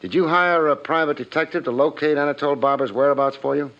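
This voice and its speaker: An actor playing a tough, wry private detective in a 1950s radio drama, narrating and questioning in a clipped, insinuating way. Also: male voice, on the high side, steady tone, quick pace, a bit bright. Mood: skeptical. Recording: muffled old radio recording.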